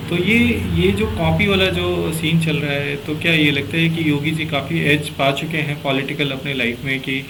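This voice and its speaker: A man's voice: a little high, background noise. A man hosting a political interview show, explaining at length in a conversational, hesitant way.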